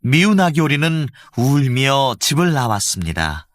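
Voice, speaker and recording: A man narrating a children's storybook with an expressive storytelling lilt. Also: medium pitch, measured pace, no echo, studio quality.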